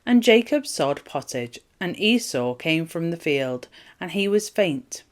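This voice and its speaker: A woman with a low voice reads from the Bible as a narrator. Her delivery is formal and measured, set out in short, solemn phrases. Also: sing-song. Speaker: Bible reader. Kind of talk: scripture reading, formal narration.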